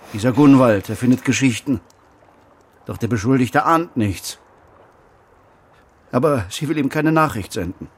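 A man's voice: medium pitch, slightly rough. An actor playing a stern, suspicious man in a radio play, questioning in a severe, probing manner with formal diction. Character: stern, suspicious man interrogating a young relative. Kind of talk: German radio play acting.

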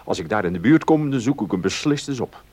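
Give the voice of a genial, well-to-do gentleman in a radio drama. His intonation is lively and wide-ranging, his manner polite, measured and full of curiosity. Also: mid-range voice, breathy edge. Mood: pleasant, musing. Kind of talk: Dutch radio play acting.

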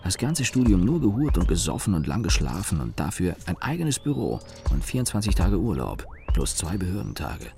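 An actor playing a disaffected, sardonic young man who narrates his own radio drama in a deadpan, grumbling way. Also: low voice, fairly quick, creaky, hardly any pauses, soft background music, exaggerated voice. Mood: deadpan, disgruntled. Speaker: narrator and main character. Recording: noisy background ambience.

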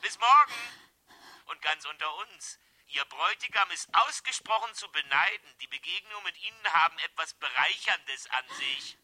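An actor in a German radio drama playing a falsely courteous, mocking blackmailer with an oily, menacing manner. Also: male voice, a little high, lively intonation, moderate pace, bright, forceful tone, slight background noise, rough recording. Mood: smug, mocking. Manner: oily, mock-polite, menacing.

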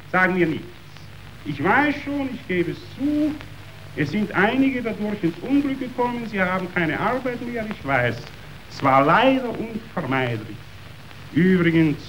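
An actor playing a ruthless yet sentimental meat magnate in a verse radio drama, declamatory, wheedling and self-pitying. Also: male voice, high-pitched, fairly bright tone, heavily acted. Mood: pleading, self-justifying. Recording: dull radio recording, faint noise.

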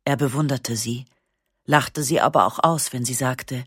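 A woman narrates a memoir for radio in a calm, measured way, her voice slightly husky. The studio sound is close and dry, with no room around it, and her pauses are slow and matter-of-fact. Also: extremely low pitch, dry recording. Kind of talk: literary first-person narration, radio reading.

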